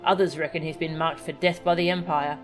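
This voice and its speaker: Woman putting on a low, blunt, gossipy townsperson. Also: fairly even intonation, fairly quick, soft background music, close to an everyday voice. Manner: colloquial, chatty. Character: blunt, gossipy working-class settlement local. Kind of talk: reading video game dialogue aloud.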